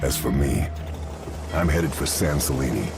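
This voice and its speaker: An actor playing a grim, resolute World War Two sniper, narrating a video game cutscene in a terse, hard-boiled, gravelly voice. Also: male voice, measured pace, music in the background, full character voice. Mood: measured, grave. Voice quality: very deep, gravelly. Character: grim, resolute male WWII Allied sniper agent on a mission. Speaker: narrating protagonist.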